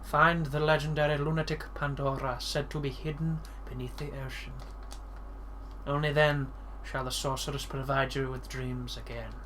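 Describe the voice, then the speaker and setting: Ultimecia, a man putting on a slow, ominous sorceress. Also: mid-range voice, level tone, fairly bright tone, a little hiss or hum, lightly acted. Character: commanding evil sorceress, female, ancient and powerful. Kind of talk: reading game dialogue aloud in a voice.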